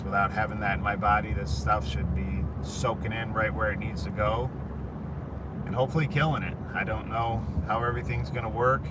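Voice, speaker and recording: A man's voice: on the low side, far from the mic. A tired, worried man in his thirties talking candidly and rambling a little in a live video recorded on his phone while he drives. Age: 30s. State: tired, foggy. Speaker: vlogger. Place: inside a car on the road. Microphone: phone in a moving car. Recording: loud car noise, voice off-mic. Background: road and car noise.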